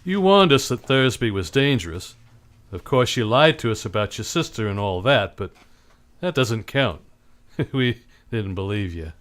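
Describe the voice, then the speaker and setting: A man's voice: mid-range voice, very animated, measured pace, a bit bright. Guy playing a cynical wisecracking detective in old time radio, mid voice that moves around a lot, clipped and wry. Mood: wry, mocking. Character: hard-boiled, cynical, wisecracking male private detective.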